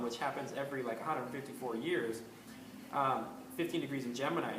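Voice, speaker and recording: Male host, casual rambling delivery, mid pitch, roomy echo, faint background hiss. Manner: rambling, many ums and restarts. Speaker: astrology video host. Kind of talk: casual spoken commentary. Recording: echoey room with faint ambient noise.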